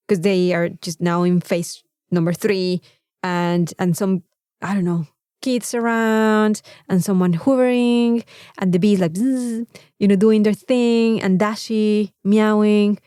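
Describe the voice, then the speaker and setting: Woman, Mexican accent, native Spanish speaker, medium pitch, effusive, repetitive emphasis, casual podcast chat, dry sound. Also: mellow, no echo. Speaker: podcast co-host.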